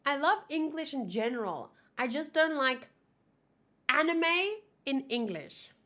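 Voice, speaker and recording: A woman's voice: high voice, animated, a little slow, fairly bright tone. A woman whose first language is Afrikaans, chatting casually in a rambling, giggly way and often correcting herself as she hosts a manga read-along.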